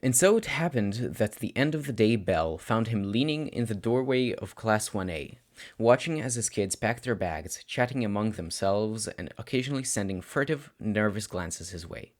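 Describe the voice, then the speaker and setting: Low-voiced man narrating with lively pitch. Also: normal speed. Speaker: amateur audiobook reader of fan fiction. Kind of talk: expressive scripted narration.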